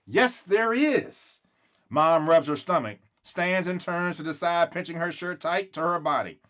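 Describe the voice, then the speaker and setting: A man's voice: on the high side, moderate pace, fairly bright tone. A man reading a children's book aloud expressively, acting out the dialogue and stretching words for emphasis. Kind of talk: expressive read-aloud storytelling.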